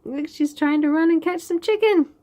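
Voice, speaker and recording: A woman reading a picture book aloud to young children in an expressive way, with chatty asides and questions about the pictures. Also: sits high. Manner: expressive, pauses to point out pictures and ask questions. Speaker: children's read-aloud host.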